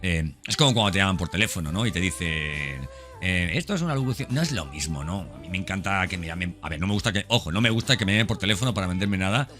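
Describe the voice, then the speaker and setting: A man's voice: sits low, fast talker, few pauses, bright, forceful tone, faint music behind. A man with an accent from Spain giving a casual, enthusiastic podcast monologue, repeating words and often checking for agreement. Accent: Spanish, from Spain. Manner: conversational and enthusiastic, repeats words, seeks agreement with tag questions. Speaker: podcast host.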